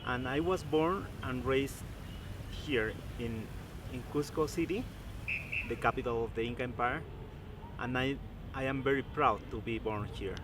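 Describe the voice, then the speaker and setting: A man's voice: mid-range voice, unhurried. A man from Peru with a Peruvian accent, explaining a historic site as a tour guide in a careful, proud way. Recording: outdoor noise behind.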